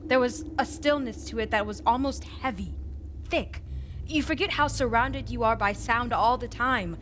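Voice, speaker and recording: High, haunted actress as a female witness. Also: normal speed, noisy background, close to an everyday voice. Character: haunted female witness remembering an eerie stillness. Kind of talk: reflective radio testimonial. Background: music under the voice.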